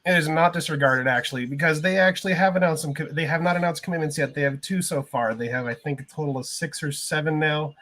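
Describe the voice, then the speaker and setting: A man's voice: a little high, quick pace, hardly any pauses, fairly bright tone. A man co-hosting a casual sports podcast, talking in a confident, joking way. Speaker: podcast co-host.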